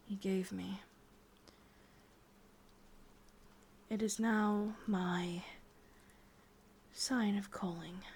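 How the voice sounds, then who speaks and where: A woman with an English accent playing a lovelorn, obsessive immortal witch in a breathy, intimate whispered ASMR roleplay. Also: mid-range voice, a little hiss or hum, close to an everyday voice. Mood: soft, instructive. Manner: slow, hushed, intimate delivery with pauses.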